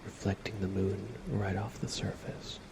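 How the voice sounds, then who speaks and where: Man, soft ASMR delivery, half-whispered, heavy vocal fry, gentle and casual, clear crinkly noise behind. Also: deep voice, monotone, normal speed. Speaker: ASMR livestreamer. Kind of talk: ASMR, soft relaxing talk and guided visualization.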